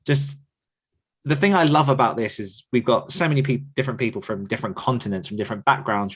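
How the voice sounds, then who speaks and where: A man hosting a podcast casually, in an enthusiastic, effusive manner. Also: mid-range voice. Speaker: podcast host and author coach.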